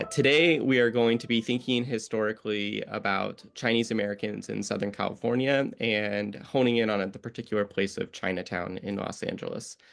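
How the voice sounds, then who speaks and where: A man's voice: on the low side, creaky, a bit bright. A man hosting an educational history podcast, introducing his guest and asking questions in a friendly, earnest way. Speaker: podcast host.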